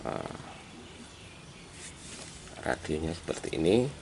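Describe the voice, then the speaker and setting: A man's voice: very deep voice, very animated, slow pace. A man giving a casual hands-on repair demonstration, addressing viewers informally as friends. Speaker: hobbyist repairer presenting a how-to video. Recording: loud mechanical and tool noise.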